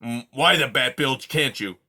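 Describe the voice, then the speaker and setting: A man's voice: mid-range voice, a little slow, sharp tone, full character voice. A man doing a grumpy old porcupine. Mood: scornful gruff. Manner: gruff, growling, insulting. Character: grumpy old male porcupine, loud and scornful.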